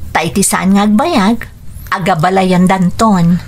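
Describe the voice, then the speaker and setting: An actress playing an affectionate, teasing young daughter in a radio drama, speaking with an Ilocano accent. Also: female voice, mid-range voice, unhurried. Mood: teasing, hopeful. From Philippines.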